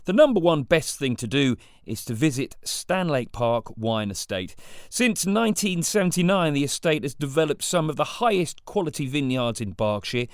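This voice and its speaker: A man's voice: a little high, very animated, normal speed, no room sound, studio quality. A man hosting a local podcast, reading a jokey upbeat intro and then interviewing a guest. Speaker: podcast host and producer.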